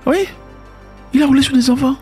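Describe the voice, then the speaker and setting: A man giving casual spoken commentary, emphatic and sarcastic, who repeats words and swings between loud and quiet. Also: extremely high pitch, brisk, soft background music. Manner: sarcastic asides.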